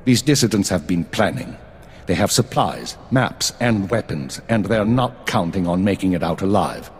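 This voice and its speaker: An actor playing a stern imperial intelligence chief in a video game, speaking with formal, brisk authority. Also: male voice, low-pitched, expressive, breathy edge. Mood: grave, resolute. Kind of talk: video game cutscene acting. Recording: reverberant hall, faint music underneath.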